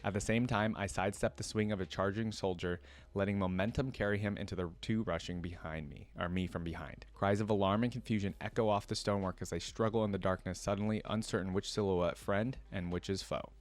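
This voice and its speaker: A man with a fairly low voice hosts a reaction video. He moves between reading fiction aloud and tossing in his own casual, expressive remarks as a fan. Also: brisk.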